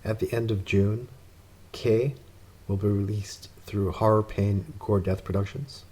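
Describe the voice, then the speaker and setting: A man hosts a podcast interview, keeping his questions short and encouraging. His voice is mellow and dark with a husky edge, pitched somewhat low. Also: fairly even intonation, a little slow. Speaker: podcast host.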